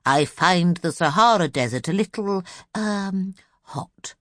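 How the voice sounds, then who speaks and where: A woman's voice: lowish voice, animated, slow pace, a bit bright, no room sound, clean studio sound. A woman voicing an elderly, absent-minded male professor in a children's audiobook, mild, hesitant and meandering. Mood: mildly bewildered. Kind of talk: audiobook character voice for children's story.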